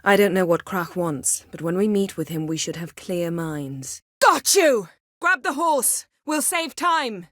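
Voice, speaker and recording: A woman voicing a haughty, sharp-witted sorceress in a video game, with an imperious, sardonic manner and clipped, articulate delivery. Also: medium pitch, lively intonation, unhurried, dry recording, studio quality. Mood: urgent, forceful. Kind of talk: video game character voice acting.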